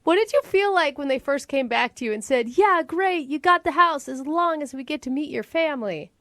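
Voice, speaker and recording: Woman, radio co-host, very high voice, incredulous, casual banter, dry studio. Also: animated, a little fast, a bit bright, no room sound. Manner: incredulous, exclamatory.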